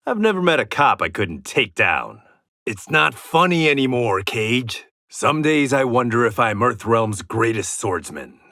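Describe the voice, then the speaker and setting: A man's voice: mid-range voice, very animated, a little slow, bright, forceful tone, dry recording, clean studio sound, heavily acted. An actor playing a proud, stern blind swordsman in a fighting game, with clipped, taunting and wry delivery. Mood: confident, terse. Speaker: video game fighter character. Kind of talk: dramatic fighting game voice lines.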